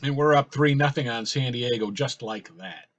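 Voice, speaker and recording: A man calling a simulated baseball game in casual play-by-play, his voice slightly raspy from a cold, rambling and correcting himself as he goes. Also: mid-range voice, normal speed, highs cut off. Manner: rambling, with fillers and self-corrections. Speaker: host playing a baseball sim.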